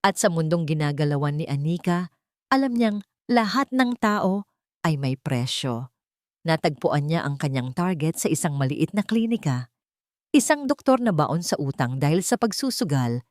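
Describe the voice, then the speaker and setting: Lower-pitched woman narrating romantic drama. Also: animated, normal speed, a little mellow, dry recording, studio quality. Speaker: story narrator.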